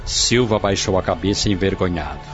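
A man's voice: low-pitched, a little fast, dull audio, faint music behind. A man with a Brazilian accent giving formal, dramatic scripted narration for a radio drama.

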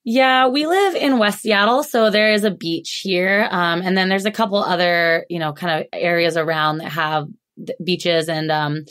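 Chatty woman guest with a lower voice. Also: fairly even intonation, normal speed, fairly bright tone. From Alaska. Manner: chatty and rambling, many "like"s. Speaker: podcast guest, chiropractor. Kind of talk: casual interview chat.

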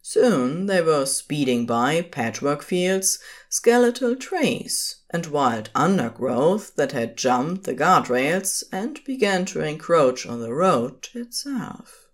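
A woman's voice: deep voice, sing-song, slow pace. A woman narrating scripted fiction as the storyteller of an audio drama.

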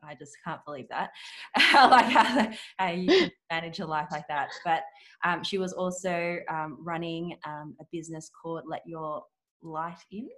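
A woman's voice: sits low, moderate pace. A woman hosting a podcast interview, chatting casually with many fillers and little laughs. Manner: warm-hearted, chatty, with frequent fillers and laughs. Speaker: podcast host.

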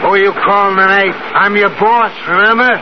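Man acting a high-voiced, sneering bully boss. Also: steady tone, fairly quick, natural voice. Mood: threatening, mocking. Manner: taunting, rough, slangy. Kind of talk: 1970s radio drama acting. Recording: muffled, noisy 1970s radio recording.

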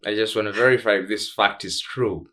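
Low-pitched Tanzanian man hosting a podcast. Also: steady tone, brisk, sharp tone. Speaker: podcast host. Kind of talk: casual chat interview.

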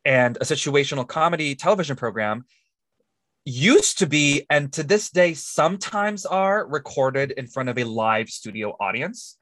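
A man's voice: fairly high pitch, lively intonation, normal speed, fairly bright tone, no room sound. A man co-hosting a podcast, explaining things in a casual, jokey, conversational way. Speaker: podcast co-host.

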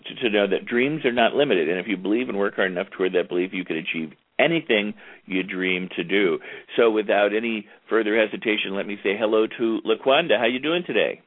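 A man with a low, deep voice hosts an internet talk radio show. He chats in a relaxed, easygoing way. The sound is tinny and phone-like, with no room echo at all. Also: fairly quick, slightly rough. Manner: chatty, easygoing, plugs the show. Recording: tinny phone-like line, dry.

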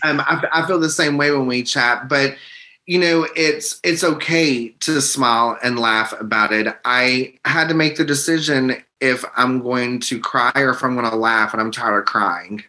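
Man, bright feminine-sounding voice, interview guest, open and earnest. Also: medium pitch, unhurried. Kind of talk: conversational interview.

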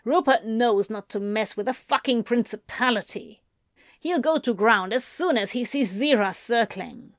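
A woman's voice: fairly high pitch, measured pace, no echo, studio quality. A woman voicing a cocky, teasing male demon who drawls and hisses his lines. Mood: sardonic. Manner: drawling, hissing, sulky.

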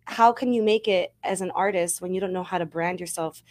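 A woman's voice: fairly low pitch, fairly quick. A woman in her twenties from Miami, a singer-songwriter guest, speaking earnestly and heartfelt with lots of "you know" and "like". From Miami, United States. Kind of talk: casual interview chat.

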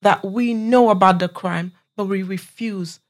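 A woman's voice: mid-range voice, a little slow. A middle-aged woman speaking as a podcast guest, giving her opinion firmly and earnestly from her own experience. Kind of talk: casual panel chat.

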